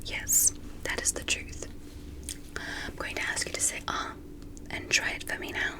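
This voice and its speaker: A woman voicing a gentle, curious alien doctor in a sci-fi ASMR medical roleplay, whispering in a soothing, polite and encouraging way. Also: a little slow, lightly acted. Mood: calm, attentive.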